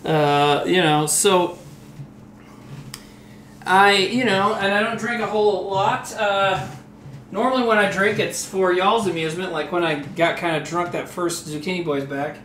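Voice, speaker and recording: A man in his early forties with a fairly high voice rambles through a casual story, laughing and swearing as he goes. He sounds a little tipsy from rum. The room around him is echoey with faint noise behind. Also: measured pace, fairly bright tone. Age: 40s. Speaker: comedian streaming while cooking.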